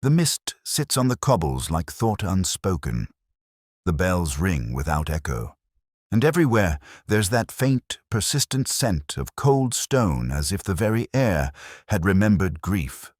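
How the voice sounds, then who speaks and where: A man's voice: sits low, sing-song, unhurried, dry recording, clean studio sound, full character voice. A man playing a melancholy older English police inspector, narrating an audio detective drama in solemn, reflective, literary phrasing.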